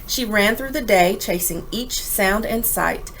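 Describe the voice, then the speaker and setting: A woman reading a children's picture book aloud in an expressive, animated way with dramatic emphasis. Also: medium pitch, unhurried, slight background noise, poor audio.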